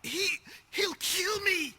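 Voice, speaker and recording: An actor playing a crude, cowardly captured bandit in a video game, with a rough, strained and agitated voice. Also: male voice, very high-pitched, animated, slow pace, bright, forceful tone, slight background noise, full character voice. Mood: panicked pleading. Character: captured male bandit, defiant then cowardly, pleading. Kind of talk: video game cutscene acting.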